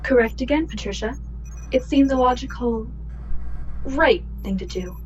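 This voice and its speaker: A woman playing a maternal, formal starship artificial intelligence in a sci-fi radio play, speaking in precise, measured sentences. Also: a little high, highs cut off, slight background noise, close to an everyday voice. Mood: calm, precise. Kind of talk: science fiction radio play acting.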